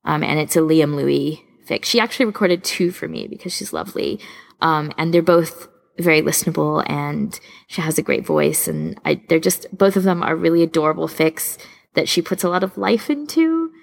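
A woman's voice: sits low, very animated, moderate pace, gravelly. A woman co-hosting a casual podcast, chatting enthusiastically like a keen fan. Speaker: podcast co-host.

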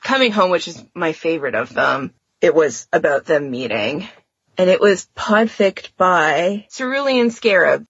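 A woman's voice: on the low side, lively intonation, unhurried, fairly bright tone, breathy edge, dry recording, lo-fi sound. A woman talking casually as a podcast co-host, in an informal, chatty way peppered with "like". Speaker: podcast co-host discussing fan fiction. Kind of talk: casual chat.

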